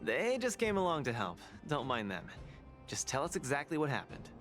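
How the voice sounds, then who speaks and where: An eager young boxing champion from a video game, with a bright, forceful voice. His pitch jumps around with excitement as he teases and brags in a casual, cocky way. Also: normal speed, soft background music. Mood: confident, easygoing.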